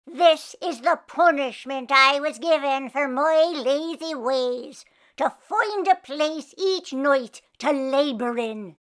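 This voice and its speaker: A woman voicing a weary male pooka, a once-lazy servant spirit in a storybook, with a drawn-out, plaintive delivery. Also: sits high, fairly bright tone, voice pushed up.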